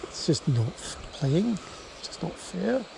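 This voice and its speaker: A man with a slightly high, creaky voice gives casual first-person fishing commentary at a slow pace. He murmurs along and breaks into exclamations. It is recorded on an action camera outdoors, with clear river noise around him. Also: very animated. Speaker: angler and vlogger. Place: outdoors, wading in a small river. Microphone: body-worn action camera. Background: running river water.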